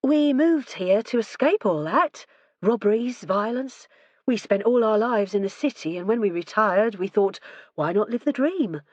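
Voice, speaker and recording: A woman voicing a soft-spoken, gentle retired wife in an audiobook. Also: medium pitch, lively intonation, normal speed, dry recording, lo-fi sound, voice pushed up, lightly acted. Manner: gentle, a little prim.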